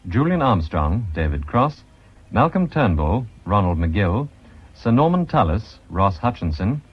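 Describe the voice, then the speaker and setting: A man's voice: lowish voice, slightly rough. A man with a British accent making a formal, scripted radio announcement as the credits reader. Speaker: announcer reading cast credits. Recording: muffled vintage radio broadcast recording.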